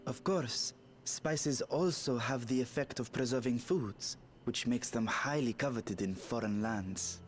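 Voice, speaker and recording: A serene, courtly dragon king from a fantasy video game played by a man speaks with measured formality and benevolence. Soft music plays behind the voice. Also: medium pitch, close to an everyday voice. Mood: courtly, informative. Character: serene male dragon ruler in a humanlike vessel, courtly and benevolent. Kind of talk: scripted video game dialogue.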